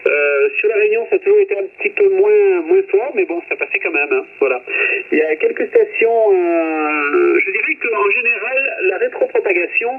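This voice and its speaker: A man's voice: sits high, animated, normal speed. A man chatting casually as an amateur radio operator over a radio link, often tagging his phrases with small checking words. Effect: radio transmission. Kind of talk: casual radio contact chat. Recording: muffled radio transmission.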